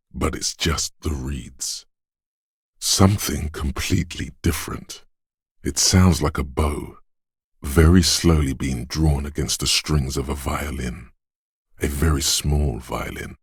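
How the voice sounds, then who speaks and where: A man voicing an inner sense of perception in a video game, narrating in a hushed, husky, deliberate and poetic way, savoring each sound. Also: very deep voice, dry recording, clean studio sound, full character voice. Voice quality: husky, gravelly, breathy. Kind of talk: atmospheric scripted game narration.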